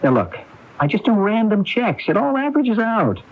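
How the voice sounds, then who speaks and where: An actor playing a nervous, evasive and resentful younger brother in a radio mystery drama, with a husky voice. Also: male voice, high voice, very animated, moderate pace, exaggerated voice. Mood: defensive. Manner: evasive, defensive. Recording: tinny, band-limited AM radio broadcast recording.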